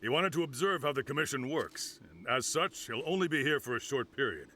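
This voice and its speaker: An actor playing an emphatic, commanding field leader of hunters in a video game cutscene. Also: male voice, medium pitch, big pitch swings, a little fast, sharp tone, a little hiss or hum. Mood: composed.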